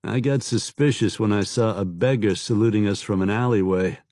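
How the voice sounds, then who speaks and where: A cool, composed commander, as a male narrator puts him on, speaking lower than the reader's usual voice. His delivery is dry and understated, with a dark, mellow tone. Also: normal speed, dry recording, lightly acted.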